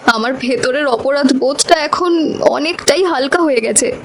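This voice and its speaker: A woman's voice: high voice, a little slow, very noisy. A woman voicing an emotional, determined village wife in a melodramatic cartoon. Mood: anxious, relieved.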